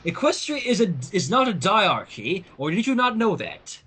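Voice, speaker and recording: A man voicing a small, officious stallion noble with a shrill, half-broken adolescent voice and formal manner, in a storybook reading. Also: very animated, normal speed, a bit bright, slightly rough, heavily acted. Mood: condescending.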